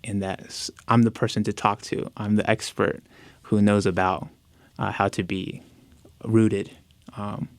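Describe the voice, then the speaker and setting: Young guy on a podcast, lowish gravelly voice, lots of ums and likes, dry sound. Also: level tone, a little slow, dry recording. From United States. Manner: hesitant, full of fillers and restarts. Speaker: podcast guest. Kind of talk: reflective personal interview.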